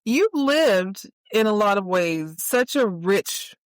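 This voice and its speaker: A woman's voice: medium pitch, lively intonation, slow pace, no room sound. A woman hosting a conversational interview, affirming and encouraging her guest as she leads with questions. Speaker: podcast host interviewing a guest.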